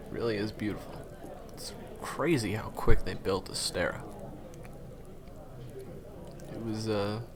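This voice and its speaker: A man playing a gentle, humble ex-hunter turned guide in an audio roleplay, speaking hesitantly and softly with frequent pauses. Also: fairly low pitch. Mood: reflective. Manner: hesitant, soft-spoken, halting with pauses. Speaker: monster hunter's handler in a fan audio roleplay. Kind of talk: intimate conversational audio roleplay acting.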